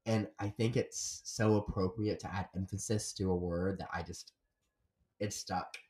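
A man's voice: low-pitched, steady tone, measured pace, a little mellow. A young man from Florida, a guest comedian in a casual chat, rambling and swearing freely with lots of fillers. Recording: roomy echo.

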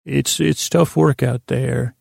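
A man with a creaky voice hosting a podcast, improvising a rambling bedtime monologue, halting and stammering as he repeats words. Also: medium pitch, fairly even intonation, a little mellow, no room sound, studio quality. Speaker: podcast host.

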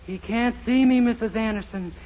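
A man's voice: sits high, moderate pace, lightly acted. An actor playing a naive, chipper, literal-minded young man in a 1940s radio sitcom. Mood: innocent, chipper. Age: young adult. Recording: noisy, muffled 1940s radio recording.

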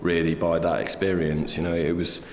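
A deep-voiced man in his fifties reflects on his life in an unhurried, hesitant way, full of little fillers. His voice rings through a roomy hall with a long tail of reverb, and faint ambience sits behind him. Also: flat delivery, a little hiss or hum. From England. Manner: thoughtful, hesitant, many fillers. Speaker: artist guest. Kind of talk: reflective interview conversation.

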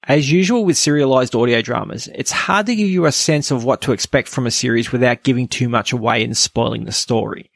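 Male podcast host, creaky voice, mid pitch, scripted review narration, dry sound. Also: a little fast, no echo.

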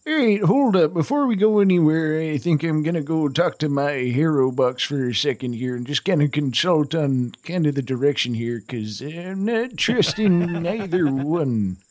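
A man chats as a player in a tabletop roleplay game. His pitch is on the high side and jumps around animatedly. His wording is loose and slangy. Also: expressive, moderate pace, few pauses, a little mellow. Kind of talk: casual, slangy game chat.

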